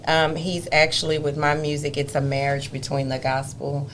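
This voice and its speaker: A woman, a gospel singer and interview guest, tells stories in an expressive, animated way and often breaks into laughter. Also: low voice, normal speed, slight background noise. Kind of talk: conversational interview storytelling.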